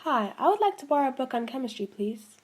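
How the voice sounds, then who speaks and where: A woman's voice: high-pitched, big pitch swings, fast talker, music in the background. A woman playing a friendly, chatty young library visitor in an amateur radio drama. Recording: clearly noisy amateur recording.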